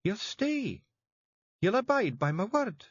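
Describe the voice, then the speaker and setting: A man voicing a small, fierce clan matriarch, sharp and commanding when she gives orders. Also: high-pitched, big pitch swings, slow pace, husky, no echo, dull audio, voice pushed up, full character voice. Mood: tearful.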